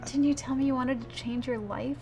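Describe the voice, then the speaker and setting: A woman's voice: high voice, fairly even intonation, fairly quick, dark tone, faint music behind. A young woman playing a headstrong, romantic goth fiancée in a comedic short film, insistent and dramatic as she persuades. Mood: frustrated, needling.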